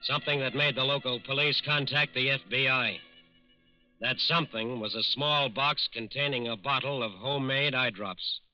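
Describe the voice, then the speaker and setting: Old time radio guy narrating crime story, dramatic, mid pitch, music behind. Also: bright, forceful tone, lo-fi sound. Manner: deliberate, dramatic repeated phrases. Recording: band-limited 1940s radio recording.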